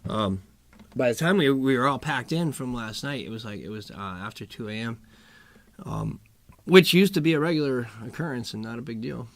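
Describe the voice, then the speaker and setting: A middle-aged man hosting a music live stream from home, chatting casually between songs in a low, rough morning voice, rambling and chuckling. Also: animated, normal speed, dry recording. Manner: laid-back, rambling, chuckles often. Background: acoustic guitar playing.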